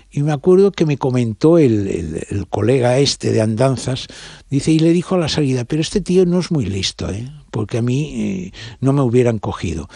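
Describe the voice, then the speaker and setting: A man talks off the cuff as an interviewed film director. His voice is medium in pitch and recorded very dry, with no room around it. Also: dark tone, dry recording. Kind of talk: unscripted interview commentary.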